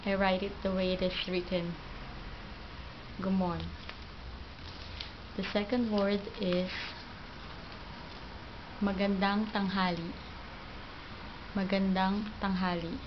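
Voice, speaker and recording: Filipino woman giving a homemade phrase lesson, flat careful delivery, muffled recording with hiss behind. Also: medium pitch. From Philippines. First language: Tagalog. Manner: slow, halting, repeats each word twice. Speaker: language teacher. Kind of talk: home video language lesson. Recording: dull lo-fi home video with background noise.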